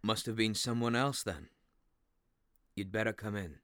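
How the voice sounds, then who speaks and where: A man's voice: on the low side, unhurried, a bit bright. A man playing a wary, haunted Victorian railway signalman in a radio play, speaking in a terse, guarded way. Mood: wary, uneasy. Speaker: actor in an audio drama.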